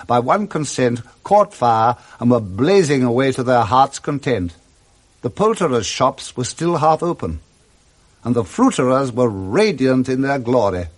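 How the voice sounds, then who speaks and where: A man with a British accent giving expressive scripted narration of a classic story in 1960s radio style, relishing each detail. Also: mid-range voice.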